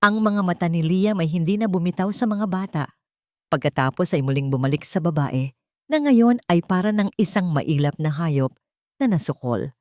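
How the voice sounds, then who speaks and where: A woman with a Filipino accent reading scripted, dramatic love-story narration in a measured storytelling manner. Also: on the low side, lively intonation, warm tone, no room sound, clean studio sound.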